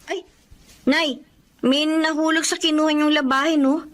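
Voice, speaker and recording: High-voiced anxious young daughter, acted by a woman. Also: steady tone, moderate pace, close to an everyday voice. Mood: alarmed. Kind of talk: Filipino radio-style drama acting.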